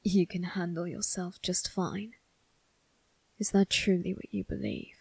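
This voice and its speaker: Casting: woman as menacing male vampire crime boss for ASMR drama. Very slow, husky and gravelly, condescending, deliberate, close dry sound. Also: on the low side, fairly even intonation, dry recording, exaggerated voice. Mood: taunting. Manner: slow, deliberate, measured taunting. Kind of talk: ASMR roleplay monologue addressed to the listener.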